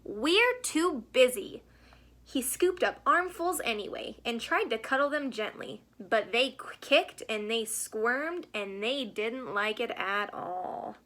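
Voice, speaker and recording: A woman reading a picture book aloud to children in an expressive storytelling style. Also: a little high, unhurried, hardly any pauses. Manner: asks the young listeners questions. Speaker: storybook reader.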